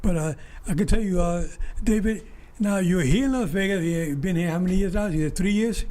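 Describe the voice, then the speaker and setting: A man hosting an interview, asking relaxed questions with frequent fillers. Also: high voice, fairly quick. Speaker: host. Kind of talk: casual interview.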